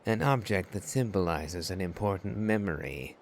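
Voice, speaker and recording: A man doing casual playthrough commentary and reading along, in a musing, unhurried way. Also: low voice, lively intonation, vocal fry, a little hiss or hum.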